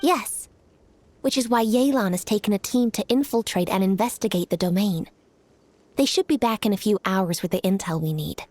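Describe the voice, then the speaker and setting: A woman playing a composed, decisive young noble commander in a fantasy video game, formal and measured. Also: mid-range voice, darkish tone, close to an everyday voice. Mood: resolute. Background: game music.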